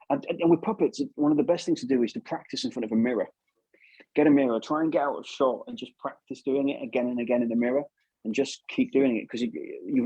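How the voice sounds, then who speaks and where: Guy chatting away in an interview, gravelly voice, repeats stuff to make his point, close dry sound. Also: medium pitch, a little mellow, no room sound, poor audio. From England. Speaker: puppeteer, interview guest. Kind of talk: casual interview chat giving advice.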